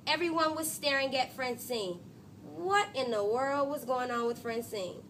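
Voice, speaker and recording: A woman teacher reading a storybook aloud expressively to a class, pausing to question pupils and echo their answers. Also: sits high, fairly bright tone. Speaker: third grade teacher. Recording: noisy classroom ambience.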